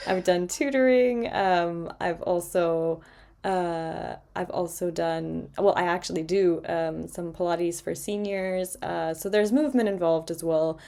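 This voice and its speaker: A woman's voice: lowish voice, unhurried, vocal fry, mellow. A woman in her late twenties from Portugal, co-hosting a casual podcast chat with many fillers and frequent laughs. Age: 20s. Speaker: co-host.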